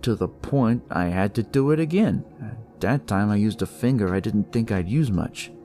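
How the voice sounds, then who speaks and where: A man's voice: on the low side, animated, normal speed, warm tone, natural voice. A man voicing a detective who tells his story in the first person, conversational and theatrical, as in a dramatic audio story. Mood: intrigued, sardonic. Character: male detective recounting a sinister, eerie discovery. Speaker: storyteller narrating in character. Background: music.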